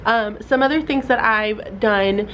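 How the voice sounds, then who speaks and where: A woman's voice: mid-range voice, unhurried, fairly bright tone, low-quality recording. Young woman teacher, casual vlog delivery, mildly congested, chatty with fillers; light background noise. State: slightly stuffy from a cold. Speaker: fourth grade teacher. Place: classroom.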